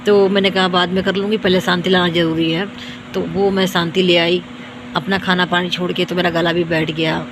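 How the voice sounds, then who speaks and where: A hoarse, tired young woman from India, talking to her viewers in a repetitive, boastful and scolding way. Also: fairly low pitch, little pitch movement, brisk, noisy background. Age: young adult. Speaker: vlogger. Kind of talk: self-filmed rant to viewers.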